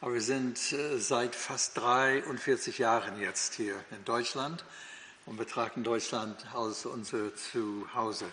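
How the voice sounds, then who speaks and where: A man's voice: mid-range voice, fairly even intonation, slow pace, bright, forceful tone, a little hiss or hum. An older man from the United States with an American accent, a native English speaker teaching the Bible in German, hesitant with many fillers and restarts. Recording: long hall reverb.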